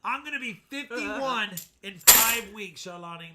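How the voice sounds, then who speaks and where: A man of about fifty chatting excitedly with viewers as he hosts a live stream. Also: high voice, a little slow, very noisy. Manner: excited, chatty, keeps talking to viewers by name. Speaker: coin-hunting live streamer. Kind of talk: casual live-stream chat while searching coin rolls.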